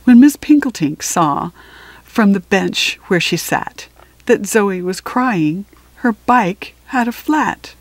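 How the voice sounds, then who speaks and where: A woman reads a children's picture book aloud at a slow pace. Her voice sits at a medium pitch, and she shapes each phrase expressively, leaving deliberate pauses. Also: no room sound. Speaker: storybook reader.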